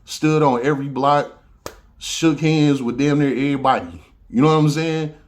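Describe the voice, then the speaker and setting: A man's voice: fairly high pitch, normal speed. A man from Detroit with a Southern-tinged accent, telling stories in a loose, profane monologue as a podcast host. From Detroit, USA. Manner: loose storytelling, profane, repeats "you know what I'm saying". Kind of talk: casual monologue.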